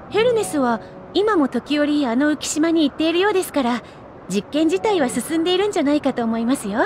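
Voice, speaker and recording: A woman playing an eager, chatty young researcher in a Japanese video game, earnest, polite and expressive. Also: sits high. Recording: studio voice over loud music.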